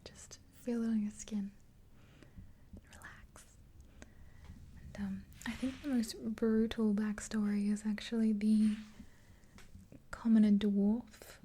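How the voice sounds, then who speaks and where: Young woman, ASMR roleplay, unhurried, soft dark tone, breathy whisper, even pitch, close mic. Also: a little hiss or hum. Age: 20s. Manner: gentle, unhurried. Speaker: ASMR creator playing a makeup artist. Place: small indoor room. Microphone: close mic, face near camera.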